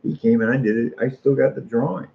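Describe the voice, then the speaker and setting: Middle-aged American man, folksy and opinionated casual talker with a gravelly fry, on muffled lo-fi audio. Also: mid-range voice, quick pace. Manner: folksy, opinionated, repeats phrases for emphasis. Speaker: street artist. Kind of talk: casual rambling monologue. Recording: muffled lo-fi audio with faint noise.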